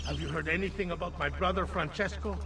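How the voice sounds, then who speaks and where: An actor playing a talkative, debt-ridden senator in a video game, with an Italian accent and a theatrical, rambling, wisecracking delivery. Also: male voice, high-pitched, fast, noisy background, close to an everyday voice. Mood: uneasy.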